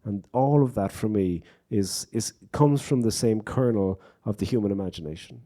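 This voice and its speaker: A man's voice: lowish voice, moderate pace, mellow. An Irish man, a poet, telling wry stories and reflecting in a live on-stage conversation at a bookstore event. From Ireland. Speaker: poet and guest speaker.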